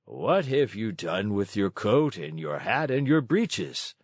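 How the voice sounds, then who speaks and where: A man's voice: fairly low pitch, big pitch swings, slow, slightly rough, no echo, studio quality, full character voice. A man voicing a plain-spoken, kindly old man in a children's storybook. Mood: matter-of-fact.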